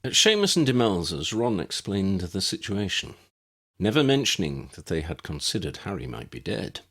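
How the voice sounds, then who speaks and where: Male audiobook narrator, low pitch, creaky, slightly husky, scripted storytelling. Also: very animated, moderate pace, fairly bright tone.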